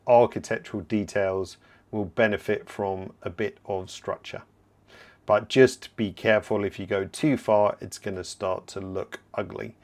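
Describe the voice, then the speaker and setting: Slightly hoarse man teaching software live. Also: lowish voice. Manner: relaxed, explanatory, thinking aloud while working. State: slightly hoarse, clearing throat. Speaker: software trainer hosting a livestream. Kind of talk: live software tutorial with viewer Q&A.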